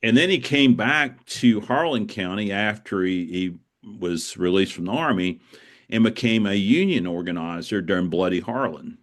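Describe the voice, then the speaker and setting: A man with a low voice speaks in a loose, conversational storytelling style. He is reflective and tends to ramble, halting and circling back as he explains himself as an interviewed writer. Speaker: author being interviewed.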